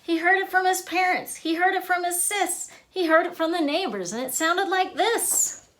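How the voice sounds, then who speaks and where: A woman reading a rhyming children's picture book aloud in an expressive way, punching out the sound words. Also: extremely high pitch, normal speed. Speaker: author and illustrator reading own book.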